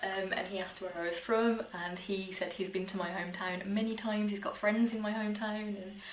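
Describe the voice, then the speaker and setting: A woman's voice: mid-range voice, fairly even intonation, a little fast. Chatty British woman in an echoey room. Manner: chatty, informal, with small laughs through sentences. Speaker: vlogger. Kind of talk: casual personal storytelling to camera. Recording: roomy echo, faint background noise.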